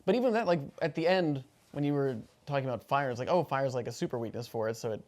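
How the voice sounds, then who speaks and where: A man talks very quickly in an informal, slangy way. He is a newcomer to tabletop gaming, chatting casually with fellow players after the session. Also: medium pitch. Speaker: first-time tabletop game player. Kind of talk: casual post-game chat.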